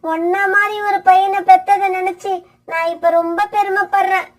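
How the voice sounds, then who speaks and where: A woman voicing a plaintive, lamenting widowed mother crow in an animated bird fable. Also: very high-pitched, moderate pace. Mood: wistful. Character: tearful old widowed mother crow, betrayed and remorseful.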